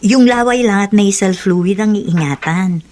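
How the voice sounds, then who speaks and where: An actress playing a devoted, caring niece in a radio drama, earnest and emotional. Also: female voice, mid-range voice, a little slow, a little mellow, natural voice. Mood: earnest reassurance. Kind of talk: Tagalog radio drama acting.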